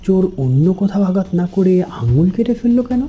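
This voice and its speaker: A man's voice: sits high, very animated, fast talker, dull audio. A man playing a frightened, wary young man who narrates and speaks in a horror cartoon with dramatic suspense. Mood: puzzled, uneasy.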